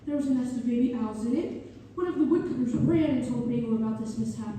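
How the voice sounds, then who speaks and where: A woman's voice: on the high side, quick pace, mellow, lightly acted. A woman playing an earnest young male architect who fondly recalls his patron in a staged read-through. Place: reverberant hall, community theater performance. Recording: echoey hall from far off, faint noise.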